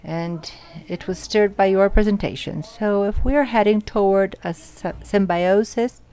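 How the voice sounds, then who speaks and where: A woman interpreting audience questions live at an academic symposium. She speaks in short, halting phrases and often corrects herself mid-sentence. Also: mid-range voice, mellow, clean tone. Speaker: simultaneous interpreter.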